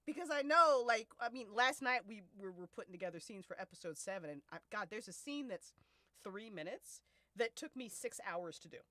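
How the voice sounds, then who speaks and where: A woman's voice: mid-range voice, animated, fairly quick, pushed and bright, no echo. A woman hosting a casual podcast discussion, talking in a playful way and swearing freely. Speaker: host of podcast cast-and-crew listening event. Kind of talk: casual chat.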